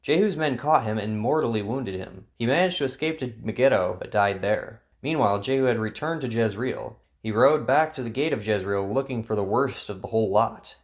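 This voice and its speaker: A man narrating a dramatized story with an expressive, dramatic delivery. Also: medium pitch, brisk, hardly any pauses. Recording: narration over music.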